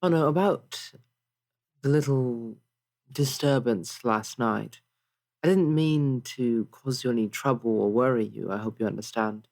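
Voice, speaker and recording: A man playing a gentle, apologetic pirate in a tabletop game, polite and reflective in the way they talk. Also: medium pitch, measured pace, creaky. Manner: soft-worded, polite, reflective. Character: gentle, apologetic, wistful pirate crew member.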